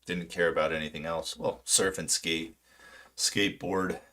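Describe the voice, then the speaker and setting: A man in his late thirties, from Poland and raised in California, chatting casually and unscripted on a livestream in a halting, self-deprecating, rambling way. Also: sits low, little pitch movement, a bit bright. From Poland until age seven, then southern California. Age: late 30s. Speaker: livestream host, amateur retail trader.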